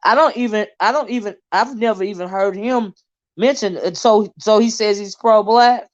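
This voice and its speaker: A blunt, emphatic woman holds forth on her own live stream, looping back over her points with a lot of "you know" and "um." Her voice sits at an ordinary middle pitch. The audio comes through dull and lo-fi, like a home stream. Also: moderate pace. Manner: blunt, emphatic, repeats herself with many fillers. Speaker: commentary channel host. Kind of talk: live-stream commentary monologue.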